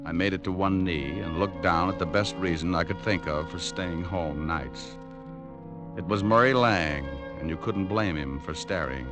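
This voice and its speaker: A man's voice: deep voice, normal speed, close to an everyday voice. A man playing a hard-boiled private detective on an old radio show, narrating with dry, sardonic wisecracks. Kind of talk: 1940s radio acting with narration. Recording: old radio broadcast with music.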